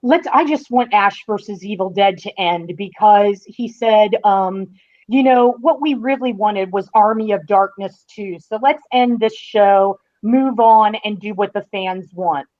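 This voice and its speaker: A woman's voice: medium pitch, a little slow, darkish tone. A woman in a casual podcast discussion, explaining things emphatically as a guest critic and stretching out stressed phrases. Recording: dull, lo-fi call audio.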